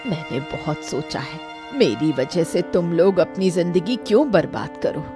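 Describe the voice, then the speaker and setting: A woman acts out an ailing middle-aged mother in a cartoon story, worried and protective. She speaks slowly in a lowered voice, firm and full of concern. Music runs underneath. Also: lively intonation. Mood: worried, resolute. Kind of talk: dramatized Hindi cartoon story.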